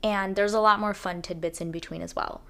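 Podcast host, female, mid-range pitch, presenting episodes and putting questions to guests. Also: steady tone, a little fast, bright, forceful tone. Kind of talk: podcast introduction and interview.

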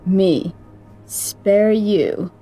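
A woman's voice: mid-range voice, drawn-out words, dark tone, poor audio. A woman voicing a menacing female slasher killer who taunts her prey in an audio roleplay, with faint music behind. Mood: gloating cruelty. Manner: taunting, teasing and cruel.

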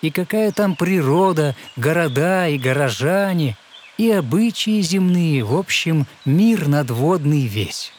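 A man narrating a fairy tale in verse as scripted audio storytelling, with measured, expressive recitation. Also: fairly high pitch, slight background noise. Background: soft music.

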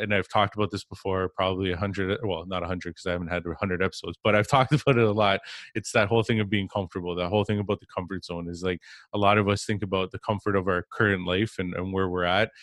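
A man's voice: low-pitched, a bit bright, no echo, studio quality. A man hosting a podcast interview, talking casually with lots of fillers and restarts. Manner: rambling, many fillers and restarts. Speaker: podcast host.

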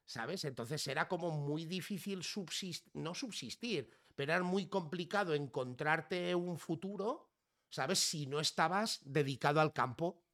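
A man's voice: a little high, a little slow. A man from Catalonia talking casually on a podcast, rambling and tagging his sentences with questions for the listener. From Catalonia, Spain (Girona). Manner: relaxed, rambling, peppers speech with "¿sabes?" and "tío", swears casually. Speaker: podcast conversation participant. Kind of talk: casual chat.

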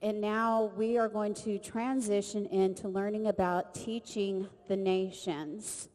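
A woman's voice: mid-range voice, flat delivery, slow. Female service leader, earnest and chatty, recorded in a reverberant church hall. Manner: earnest, conversational, warm address to the group. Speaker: ministry leader leading a service. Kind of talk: church service hosting and prayer. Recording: long reverb of a large hall.

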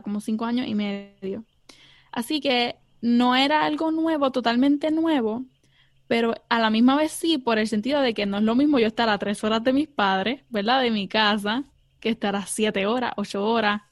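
A woman's voice: a little high, moderate pace, no room sound, clean studio sound. A young Puerto Rican woman, a theology student, answering interview questions earnestly with many fillers and some English words. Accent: Puerto Rican Spanish. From Puerto Rico.

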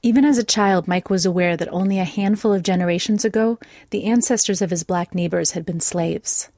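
A woman reading scripted documentary narration in a measured, clear way. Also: fairly low pitch, a little mellow, no echo, clean studio sound.

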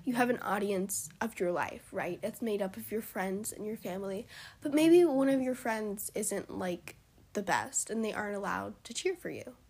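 A hoarse young woman hosting a solo podcast, speaking in an encouraging, heartfelt way, her voice cutting in and out and often dropping to a whisper. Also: medium pitch, expressive, moderate pace, a little mellow. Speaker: podcast host. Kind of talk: solo motivational podcast talk.

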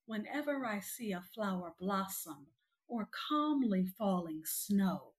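A woman hosting a podcast, delivering scripted announcements and poetry in a deliberate, expressive way. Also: medium pitch, breathy edge, no room sound. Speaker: podcast host. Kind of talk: scripted show sign-off and poetry recitation. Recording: music bed under the voice. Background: music.

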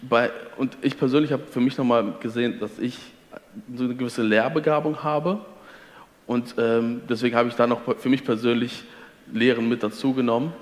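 Man from Ostwestfalen, middle pitch, earnest teacher type, reverberant room. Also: fairly even intonation, moderate pace. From Ostwestfalen, Germany. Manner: earnest, teacherly, quotes scripture. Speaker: guest. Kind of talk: faith conversation.

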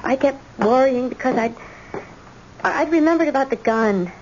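An actress as a high-voiced young woman in a vintage radio play. She is anxious and distraught, speaking in halting, pleading phrases on a muffled recording with faint noise. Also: darkish tone, close to an everyday voice. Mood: anxious, urgent. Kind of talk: old-time radio drama acting.

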